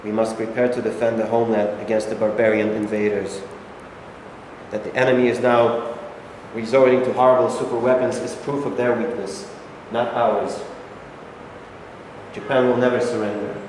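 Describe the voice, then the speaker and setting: A man's voice: lowish voice, level tone, normal speed, darkish tone, lightly acted. A man playing a stern, solemn Japanese wartime patriot in a staged reading, delivered as formal declamatory speech. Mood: stern, resolute. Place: reverberant indoor room at a staged reading. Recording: very echoey hall, faint background noise.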